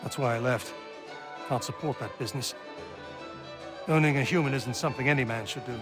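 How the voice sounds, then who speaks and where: A man's voice: mid-range voice, a little fast, music in the background, close to an everyday voice. An actor playing a plainspoken, principled, hard-drinking frontier man in a video game, with a blunt, folksy manner. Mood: frank.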